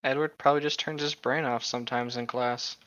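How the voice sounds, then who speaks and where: Man, bright sharp voice, casual co-host banter, flippant, somewhat lo-fi recording. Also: medium pitch, steady tone, a little fast. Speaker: podcast co-host reading and riffing on a novel. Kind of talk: casual banter with read-aloud.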